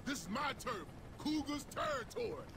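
An actor playing a cocky, boastful soldier and ex-athlete in a video game. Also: male voice, very high-pitched, sing-song, normal speed, creaky, full character voice. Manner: boastful, exuberant. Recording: vehicle noise behind the voice.

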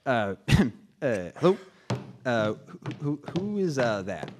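An actor playing a flustered, stammering radio host in a live comic zombie radio drama. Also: male voice, mid-range voice, very animated, slow pace, noisy background. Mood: nervous, flustered. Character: flustered, panicky male radio show host in zombie attack.

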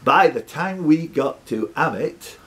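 Lively male quiz host. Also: fairly high pitch, unhurried. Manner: teasing, jokey, sweary. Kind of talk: live pub quiz hosting, reading questions with banter.

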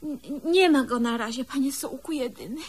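A woman's voice: high-pitched, moderate pace. An actress playing a doting, lovestruck older woman in a comedic radio play, gushing and coaxing in a motherly way. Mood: apologetic.

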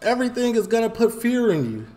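A man's voice: very high voice, fast talker. A man, reacting to a police show in casual, rambling commentary that circles and repeats itself. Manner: animated, rambling, repeats phrases, gestures while talking. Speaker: reaction video host.

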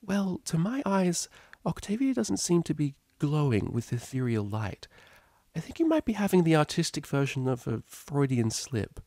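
A storybook mare of mature years voiced by a man, kindly and motherly. The voice sits high and speaks gently, with an easygoing friendliness, in a dry close recording. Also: big pitch swings, normal speed, dry recording. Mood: gently tactful. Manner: gentle, friendly, affectionate address. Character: kindly older female pony art teacher, easygoing, motherly.

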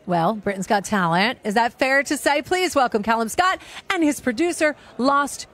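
A woman's voice: fairly high pitch, expressive, normal speed, a bit bright. A middle-aged woman hosting red carpet interviews, effusive and motherly in her manner. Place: outdoor red carpet event.

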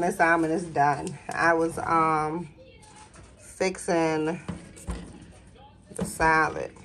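Woman with a lowish voice, a home cook filming herself on her phone, folksy and talkative with viewers, slight hiss behind. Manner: chatty, folksy, talks straight to viewers as y'all. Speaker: home cooking vlogger. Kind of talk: casual cooking video chat to viewers. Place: home kitchen.

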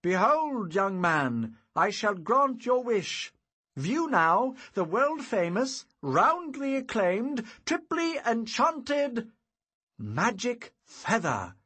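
A man's voice: mid-range voice, huge pitch swings, measured pace, few pauses, slightly rough, full character voice. A man playing an earnest young wizard with a theatrical streak in an audiobook story, speaking emphatically and dramatically. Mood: mock grandiose.

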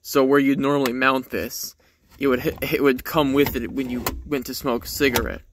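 A man's voice: medium pitch, flat delivery, moderate pace, background noise. A man giving casual, unscripted vlog commentary in a laid-back, rambling way, recorded on a handheld phone in a garage. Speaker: vlogger.

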